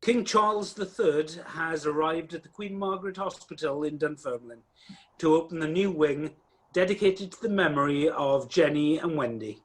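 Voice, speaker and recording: A man's voice: on the high side, normal speed, close to an everyday voice, rough recording. A man playing the narrator of an amateur radio play, telling the story directly to the listener. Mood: storytelling.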